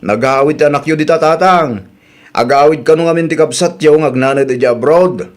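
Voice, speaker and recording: An actor with an Ilocano accent playing a wronged, fed-up young husband in a radio drama. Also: male voice, fairly high pitch, normal speed, lightly acted. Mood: polite, troubled. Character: wronged, fed-up young husband confronting his lying wife.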